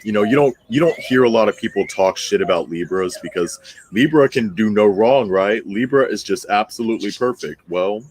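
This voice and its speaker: Low-pitched man giving blunt commentary. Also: normal speed. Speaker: host of an astrology commentary channel. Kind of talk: astrology commentary, part read, part ad-libbed.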